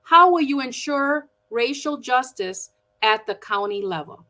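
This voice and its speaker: Woman, high pitch, bright, forum moderator, chatty, video call. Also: animated, a little slow. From Milwaukee, United States. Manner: warm, chatty, reads out viewer names.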